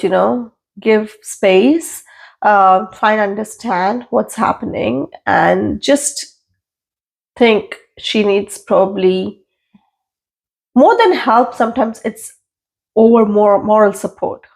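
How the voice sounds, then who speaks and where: A woman in her thirties with a noticeably gravelly, creaky voice at a middle pitch. She speaks as a podcast guest, earnest and emphatic, circling back to repeat her points. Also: darkish tone. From India, raised in London. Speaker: guest, registered nurse. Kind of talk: casual podcast interview.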